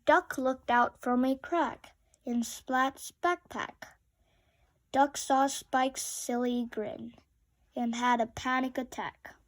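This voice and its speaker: Female storybook reader, high pitch, unhurried, expressive delivery for young children. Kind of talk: children's picture-book read-aloud.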